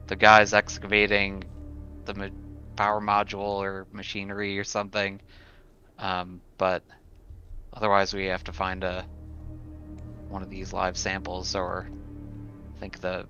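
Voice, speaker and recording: A man's voice: lowish voice, monotone, measured pace, long pauses, pushed and bright, lo-fi sound, soft background music. A man playing a tabletop game, talking casually through plans with the group.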